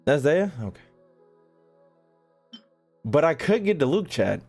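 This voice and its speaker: Animated, higher-pitched man streaming a game. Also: slow, creaky, soft background music. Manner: slangy, profane, mock-exasperated. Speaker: video game streamer talking to chat. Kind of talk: casual livestream chat while playing.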